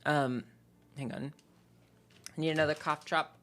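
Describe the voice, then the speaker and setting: A young woman streamer chatting casually and candidly with her viewers, her voice lowered by a sore throat, rambling with lots of "like" fillers. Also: fairly even intonation, a little slow, a bit bright, faint music behind. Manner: rambling and candid, heavy use of "like". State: sore throat, voice deeper than usual. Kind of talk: casual live-stream chat.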